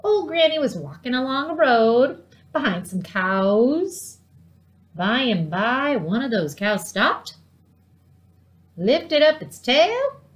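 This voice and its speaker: A middle-aged woman reading a children's storybook aloud in an animated way, with dramatic, drawn-out emphasis. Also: a little high, dull audio. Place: living room at home, indoors.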